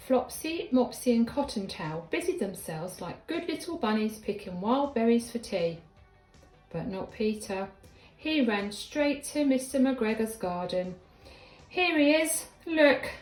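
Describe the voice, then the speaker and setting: A woman, sounding like a schoolteacher, reads a children's story expressively, with deliberate, dramatic emphasis. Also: mid-range voice. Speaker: primary school teacher reading a story. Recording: echoey room with background music.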